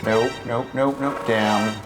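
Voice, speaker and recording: A man's voice: mid-range voice, fairly even intonation, slow pace, over music. A man giving casual, self-mocking commentary while playing a game. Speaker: game streamer.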